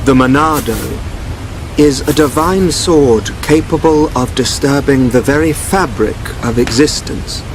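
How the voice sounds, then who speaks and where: A man voicing a calm, enigmatic young seer in a video game, speaking formally and cryptically. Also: medium pitch, a little slow, background noise, natural voice. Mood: cryptic, composed. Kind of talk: dramatic video game voice acting.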